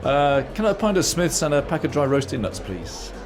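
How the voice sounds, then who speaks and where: A man's voice: a little high, sing-song, a little fast, music in the background. Young man acting as a stressed, secretive British husband. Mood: weary, brushing off. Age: young adult. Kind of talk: amateur radio play acting.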